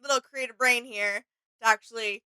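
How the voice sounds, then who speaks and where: Very bright, clear woman chatting casually. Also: on the high side, fairly even intonation, normal speed, no room sound, rough recording. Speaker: reaction show co-host. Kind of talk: casual reaction commentary.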